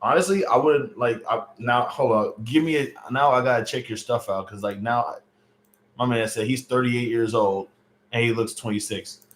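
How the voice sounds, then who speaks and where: A man's voice: mid-range voice, moderate pace, a little hiss or hum. A man in his late thirties talks casually to his livestream chat. He uses lots of fillers and teasing asides, with a mid-range voice. The sound is roomy and echoey. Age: late 30s. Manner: loose, chatty, joking with chat, lots of fillers. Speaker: livestream host. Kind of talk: casual livestream chat reading viewer comments. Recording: roomy echo on a home stream mic.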